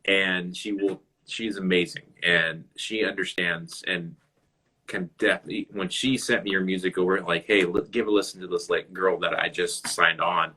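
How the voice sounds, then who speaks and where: Deep husky-voiced man hosting a chat. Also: fairly even intonation, normal speed, pushed and bright, poor audio. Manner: rambling, restarts sentences. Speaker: interview host. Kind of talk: casual interview chat. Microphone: video call.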